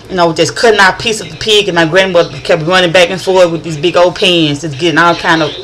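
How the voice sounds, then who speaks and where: A woman's voice: lowish voice, a bit bright. A middle-aged woman from northern Louisiana with a country accent, rambling in a chatty vlog monologue and often restarting her sentences. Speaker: vlogger.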